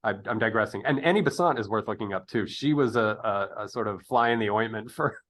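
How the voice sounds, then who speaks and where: Low-voiced man hosting a chat. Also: a bit bright. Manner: digressive, halting with self-corrections; jocular, chuckles often. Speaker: podcast interview host. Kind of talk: casual interview chat with rambling history asides.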